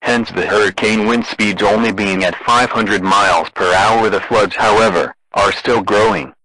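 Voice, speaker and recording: Bright, low-pitched deadpan male alert announcer. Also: moderate pace, low-quality recording. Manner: deadpan, formal. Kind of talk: scripted emergency broadcast narration.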